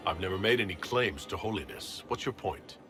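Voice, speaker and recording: A man's voice: low voice, lively intonation, a little fast, over music. A man playing a blunt, self-possessed and coldly ruthless leader in a video game, speaking directly and tersely. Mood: guarded. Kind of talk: video game cutscene acting.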